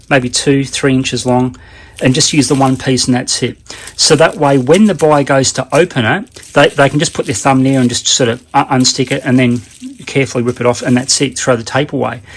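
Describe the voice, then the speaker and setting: Australian male, mid-pitched with a creaky edge, informal rambling demonstrator, plain-spoken and unscripted. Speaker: online seller giving a how-to demonstration. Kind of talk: casual unscripted how-to talk.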